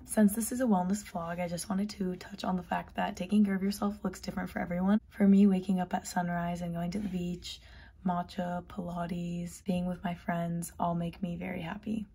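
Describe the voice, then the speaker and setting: A young woman talking casually to her phone camera in a dorm room, her voice hoarse and half gone. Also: on the low side, little pitch movement, normal speed, mellow. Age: young adult. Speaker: vlogger. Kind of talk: casual vlog talk to camera. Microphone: phone at arm's length.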